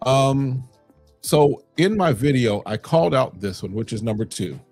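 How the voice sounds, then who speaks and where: A man's voice: medium pitch, expressive, measured pace, a little mellow, faint music behind. A man from Chicago's South Side hosting a casual fragrance livestream, answering chat questions in a loose, conversational way with plenty of fillers.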